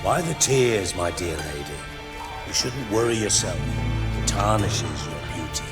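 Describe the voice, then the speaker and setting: Casting: middle-aged game spymaster, mocking, blunt, scheming, on the low side. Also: male voice, animated, unhurried. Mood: wry. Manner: mocking, mock-courtly. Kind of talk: video game voice acting. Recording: loud music behind the voice.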